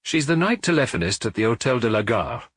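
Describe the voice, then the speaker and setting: A man voicing an earnest, deferential provincial police inspector who explains things briskly in an audiobook. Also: medium pitch, very animated, fairly bright tone, husky. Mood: businesslike.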